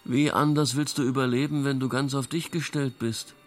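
A man's voice: medium pitch, steady tone, normal speed, soft background music, close to an everyday voice. An actor in a radio play playing a wry intellectual man, eloquent, ironic and rather pompous. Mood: wry, lecturing. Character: wry male intellectual theorising about modern absurdity. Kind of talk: conversational radio play dialogue.

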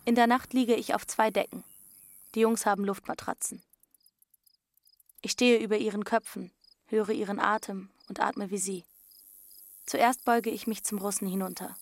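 A woman's voice: medium pitch, steady tone, normal speed, pauses often, lightly acted. Woman playing a brooding, dreamy runaway teenage girl. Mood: hushed, tender. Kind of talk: first-person radio drama narration with dialogue.

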